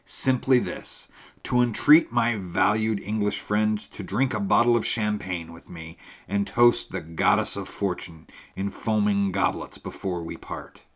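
A man voicing a hoarse, flattering old soldier, in a rough barrack-room manner. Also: fairly low pitch, measured pace. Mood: jovial, pompous.

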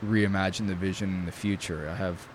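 Deep-voiced man, thoughtful and hesitant. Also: level tone, a little fast, a little hiss or hum, poor audio. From New York. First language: Italian. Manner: thoughtful, hesitant, many fillers. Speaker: guest, knife maker. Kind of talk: casual conversational interview.

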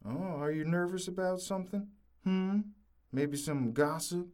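A woman's voice: lowish voice, a little slow, darkish tone, close to an everyday voice. A woman playing an antsy, scolding small-town husband in a comic audio drama, fussy and folksy with a Southern US accent.

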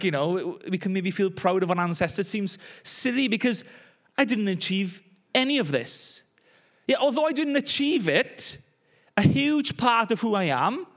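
Welsh man, young, pastor, chatty sermon, high voice, light joking asides. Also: fairly bright tone. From Wales. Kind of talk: conversational sermon.

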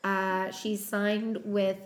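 A woman's voice: mid-range voice, level tone. A woman co-hosting a film podcast, chatting casually through cast notes with plenty of "um" fillers. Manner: unhurried, many "um" fillers, running through notes. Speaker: podcast co-host. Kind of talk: casual chat about a film's cast.

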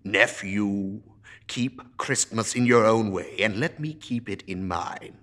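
A man doing Scrooge, a loud scornful old miser. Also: mid-range voice, wildly swooping pitch, measured pace, slightly rough, heavily acted. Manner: snapping, scornful. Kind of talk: storybook character reading.

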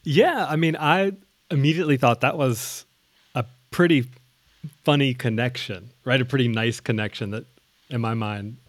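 A man hosting a casual conversation, speaking in a relaxed, easygoing and fluent way. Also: medium pitch, dry recording.

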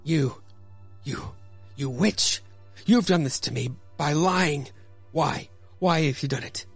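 Man acting a creaky, anguished young man. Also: a little high, no echo, soft background music, voice pushed up, exaggerated voice. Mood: accusing. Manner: earnest, dramatic, halting when troubled. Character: defiant, bewildered young condemned Irishman.

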